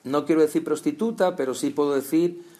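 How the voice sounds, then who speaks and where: A man's voice: on the high side, a little fast, dark tone. A man from preaching a conversational sermon, talking straight to his listeners with rhetorical questions.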